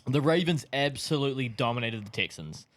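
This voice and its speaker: A man's voice: medium pitch, expressive, fairly quick, a bit bright. A man hosting a casual sports chat, swearing and bantering as he goes. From New Zealand. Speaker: podcast host.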